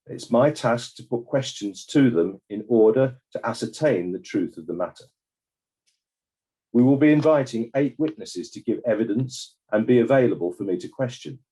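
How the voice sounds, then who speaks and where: An actor playing a formal court examiner, speaking in a measured, deliberate way in a scripted dramatized inquiry. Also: male voice, mid-range voice, mellow, husky, poor audio. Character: formal, probing male inquest examiner.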